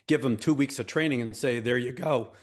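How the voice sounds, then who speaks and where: A man's voice: mid-range voice, a little fast. A man giving blunt, emphatic expert commentary in an interview. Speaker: aviation expert.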